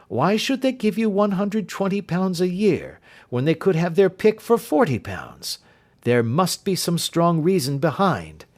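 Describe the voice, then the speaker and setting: A man voicing a sharp, confident detective in an audiobook reading, speaking emphatically in a raised voice. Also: fairly high pitch, voice pushed up. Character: sharp, confident male detective, keen and assured.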